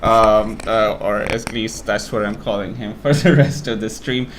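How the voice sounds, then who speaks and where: A man's voice: on the low side, fairly even intonation, measured pace, noisy background. A man hosting a casual interview show, talking in a rambling, self-deprecating way.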